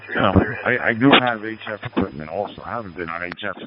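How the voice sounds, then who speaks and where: A man's voice: fairly low pitch, lively intonation, quick pace, very noisy. A man chatting casually and ramblingly as an amateur radio operator, repeating himself over a radio link. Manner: rambling, repetitive, frequent "you know". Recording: dull lo-fi digital radio transmission.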